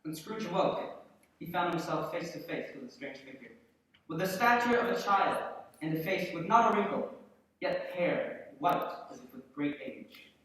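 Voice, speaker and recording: A man's voice: a little high, expressive, moderate pace, a bit bright. A man narrating a storybook tale as part of a live radio play. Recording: echoey room, distant mic.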